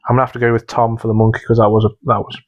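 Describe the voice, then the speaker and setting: A man's voice: on the low side, level tone, rapid-fire, lo-fi sound. A man co-hosting a comedy podcast, chatting casually and judging entries in a hesitant, rambling way. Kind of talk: casual comedy panel chat.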